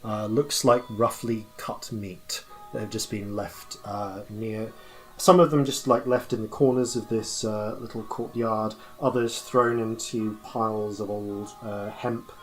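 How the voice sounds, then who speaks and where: A man running a tabletop game as game master, describing scenes off the cuff and haltingly as he thinks aloud. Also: fairly low pitch, fairly even intonation. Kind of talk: improvised narration of a tabletop game.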